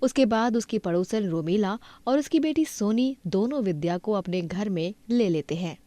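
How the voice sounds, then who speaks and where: A woman's voice: medium pitch, lively intonation, moderate pace, warm tone, dry recording. A woman reading scripted narration for a moral cartoon story as its storyteller.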